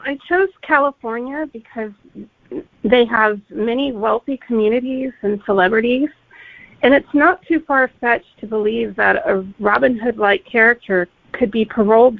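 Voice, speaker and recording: A woman's voice: fairly high pitch, gravelly, dry recording. A woman speaking as a guest author, answering interview questions conversationally. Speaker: guest author discussing her book. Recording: narrow phone-like line, dry.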